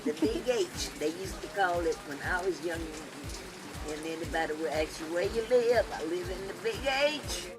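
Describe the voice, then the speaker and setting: A woman's voice: lowish voice, animated, moderate pace, rough recording. A woman in her seventies answering interview questions, reminiscing about the neighborhood. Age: 70s. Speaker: neighborhood resident. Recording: music bed under voice.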